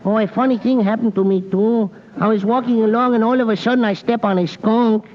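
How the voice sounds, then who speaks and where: Man acting a high-voiced, cheerful Mexican handyman. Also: fairly even intonation, fairly quick, lightly acted. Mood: cheerful patter. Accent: Mexican Spanish, stage version. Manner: broken English, joke-telling patter. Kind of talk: old-time radio comedy acting. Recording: muffled old radio, hall echo, faint noise.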